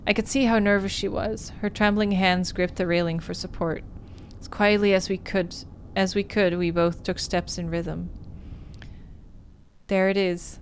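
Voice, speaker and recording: Lower-voiced woman streaming a story game. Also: slight background noise. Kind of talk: livestream playthrough, reading visual novel narration aloud.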